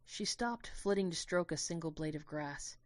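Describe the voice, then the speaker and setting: A woman giving a dramatic first-person narration of a scripted story, in a measured, literary and expressive way. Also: low-pitched, dry recording.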